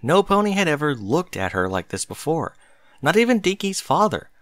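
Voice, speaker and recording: A man reading a story aloud as an expressive narrator. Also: on the high side, moderate pace, soft background music.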